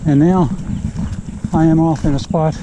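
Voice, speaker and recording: A man's voice: on the high side, fairly even intonation, measured pace, noisy background. A man vlogging as he hikes a forest trail, talking easily to the camera he carries outdoors. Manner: easygoing, talking to camera while walking. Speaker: hiker vlogger. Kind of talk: casual hiking narration. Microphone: action camera carried while hiking.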